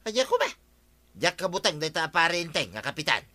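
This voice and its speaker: An actor with an Ilocano Filipino accent playing a stubborn, defensive villager in a radio comedy drama, arguing in colloquial speech. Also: male voice, on the high side, very animated, brisk, bright, forceful tone. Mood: defiant. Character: stubborn, brazen male villager, defensive and excuse-making.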